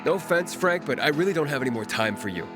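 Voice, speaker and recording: A man's voice: a little high, animated, fast, close to an everyday voice. An actor playing a frustrated, desperate young male survivor in a video game, speaking with urgent exasperation. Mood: exasperated. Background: music.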